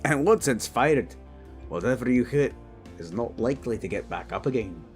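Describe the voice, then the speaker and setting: A man's voice: mid-range voice, expressive, normal speed, soft background music, natural voice. A man playing a proud, battle-hardened old dwarf king in a fantasy audio drama, speaking in a boastful, commanding, folksy way.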